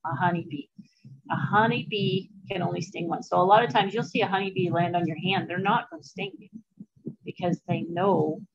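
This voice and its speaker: An older woman with a gravelly, slightly husky voice talks through her slides in an easygoing, anecdotal way, peppering her explanations with asides. The sound is dull and lo-fi, picked up by a webcam mic on a video call from a small room at home. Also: medium pitch. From Canada. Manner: chatty, anecdotal, with frequent fillers and asides. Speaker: beekeeper giving a presentation. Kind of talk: casual informal talk over slides.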